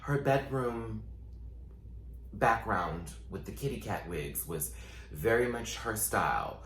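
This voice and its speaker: A man chatting sassily through a casual recap, his voice still low and groggy from just waking up. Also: expressive, fairly bright tone, reverberant, slight background noise. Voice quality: voice sitting lower than his normal. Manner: sassy, playful, quick asides. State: just woke up, morning voice. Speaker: vlogger and show recapper.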